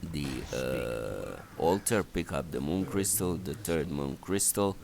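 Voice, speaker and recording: A man giving step-by-step, halting instructions as commentary for a strategy game walkthrough. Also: rumbling low, animated, darkish tone. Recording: clearly noisy voice recording.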